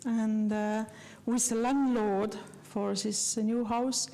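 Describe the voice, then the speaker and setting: A woman giving a slide lecture in English, which is not her first language, talking earnestly and haltingly with many fillers and restarts. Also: mid-range voice, mellow, rough recording. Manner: earnest, personal.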